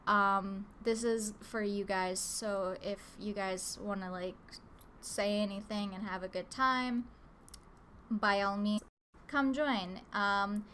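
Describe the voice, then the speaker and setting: A woman hosts a live art stream from home, her voice a little nasal from a lingering cold. She talks at an unhurried pace, easygoing and rambling, with plenty of ums and likes into an open desk mic. A faint hiss sits under her voice. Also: mid-range voice, fairly bright tone. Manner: easygoing, rambling, lots of filler words. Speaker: live-stream artist host. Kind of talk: casual live-stream chat while drawing. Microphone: open desk microphone.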